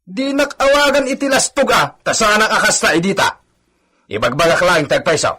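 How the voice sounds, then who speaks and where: Casting: boastful Ilocano hacienda worker, comic radio drama; bright, husky, animated bickering. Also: male voice, sits high, normal speed, exaggerated voice. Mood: indignant, scornful. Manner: bickering, exclamatory. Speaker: actor in a radio comedy drama.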